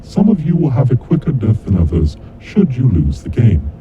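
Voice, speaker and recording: Guy acting as a creepy male kidnapper mastermind, formal n taunting, pitch swings all over, muffled lo-fi with rumbly noise. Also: wildly swooping pitch. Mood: cold, instructing. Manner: theatrical, deliberate, formal, taunting. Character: menacing male kidnapper mastermind, coldly vengeful game announcer. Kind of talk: scripted horror monologue audio drama. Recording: dull lo-fi audio with clear rumbling noise.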